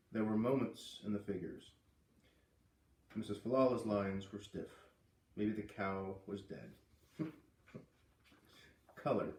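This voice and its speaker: A man reading a children's novel aloud as an expressive storyteller. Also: fairly low pitch. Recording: roomy echo, distant from mic.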